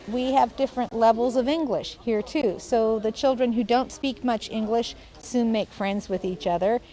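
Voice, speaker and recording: A woman's voice: fairly high pitch, normal speed, dark tone. A middle-aged woman explaining things in an interview as a camp teacher or organiser.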